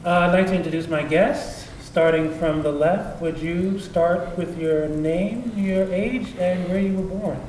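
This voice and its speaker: A man's voice: sits high, a little slow, hardly any pauses, darkish tone. A man interviewing guests as host and director of a documentary, asking simple, formal questions. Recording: echoey, distant, faint background noise.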